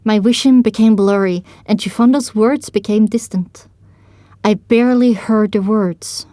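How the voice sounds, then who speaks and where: A woman's voice: medium pitch, steady tone, measured pace, dark tone, no echo. Woman narrating a story, dry close sound. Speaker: narrator of an audio drama. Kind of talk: first-person dramatic story narration.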